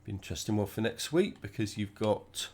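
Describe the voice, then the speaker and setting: Croaky man with a cold. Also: fairly low pitch, brisk. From United Kingdom. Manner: relaxed, chatty, thinking aloud while driving. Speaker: gaming channel host. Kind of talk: casual gameplay commentary.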